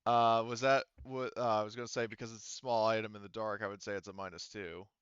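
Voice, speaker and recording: A man with a fairly low, clean voice runs a tabletop roleplaying game. He explains rules and scenes casually and haltingly. The sound is close and a little dull, with no room echo. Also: level tone, fairly bright tone, clear, resonant tone. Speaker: game master.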